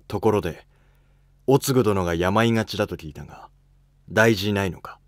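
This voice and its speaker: A man voicing a dignified, considerate emperor in a historical drama, speaking formally with measured authority. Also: fairly low pitch, dry recording, clean studio sound, natural voice. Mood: concerned. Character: reigning male emperor, dignified, considerate ruler. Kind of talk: amateur historical drama dialogue.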